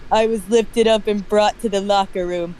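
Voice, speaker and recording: A man's voice: high voice, steady tone, moderate pace, a little mellow, poor audio. A man reading a story aloud on a stream, breaking off for mocking asides. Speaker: stream host reading creepypasta. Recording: faint background noise.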